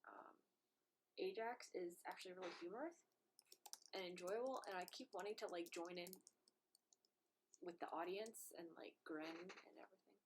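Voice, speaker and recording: A woman's voice: lowish voice, normal speed, long pauses, a little hiss or hum, poor audio. A woman with a mumbly voice in casual, informal chat. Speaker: performer in the play reading.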